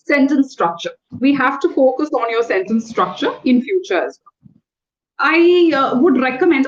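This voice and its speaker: High-voiced woman trainer. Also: muffled recording. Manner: patient, corrective, spells words out letter by letter. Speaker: English trainer. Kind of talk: one-on-one English lesson.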